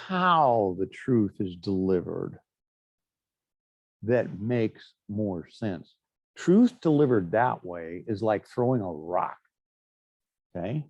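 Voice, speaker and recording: Gravelly, lively middle-aged male teacher. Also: on the low side, no room sound. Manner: chatty, anecdotal, frequent fillers and asides. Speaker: instructor. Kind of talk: classroom lecture.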